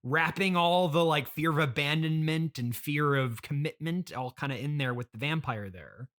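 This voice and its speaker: A man's voice: a little high, moderate pace, fairly bright tone. A man hosting an improvised comedy conversation, speaking in an awkward, hesitant but earnestly reasoning way. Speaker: podcast host playing himself.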